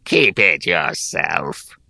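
A man voicing an ancient, sly hag in an audiobook fantasy tale, with a gravelly voice and a languid, mock-polite manner. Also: sits low, sing-song, drawn-out words, sharp tone, no echo, clean studio sound, pitched below the performer's own voice, full character voice. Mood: cold, dismissive. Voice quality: creaky, gravelly. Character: ancient sly yawning hag, coolly dismissive. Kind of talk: audiobook character acting.

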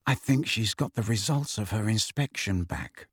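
Brief: male reader as a sullen schoolboy, dropping low and soft. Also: lively intonation, moderate pace, dry recording, studio quality, close to an everyday voice. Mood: muttering. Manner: low, muttered. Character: teenage schoolboy wizard, grumbling.

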